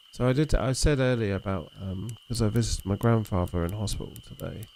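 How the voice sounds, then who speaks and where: A man with a gravelly, low voice chats easily to his viewers while streaming a fishing game. His tone is mellow and rambling, and a faint outdoor hum sits under his voice. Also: moderate pace. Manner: relaxed, rambling, talks to chat and reads messages aloud. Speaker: livestream host.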